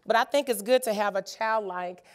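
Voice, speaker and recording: A woman in her fifties, slightly raspy from a sinus cold, giving an encouraging conference workshop talk with frequent ums and uhs. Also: medium pitch, normal speed. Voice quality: many filler ums and uhs. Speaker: workshop presenter. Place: conference room.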